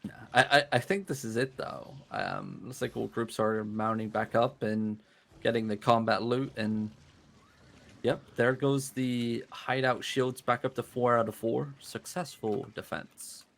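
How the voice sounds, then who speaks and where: A man giving relaxed, chatty live play-by-play commentary on an online game battle. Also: mid-range voice, unhurried, creaky. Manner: relaxed, chatty, laughs easily. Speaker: esports caster.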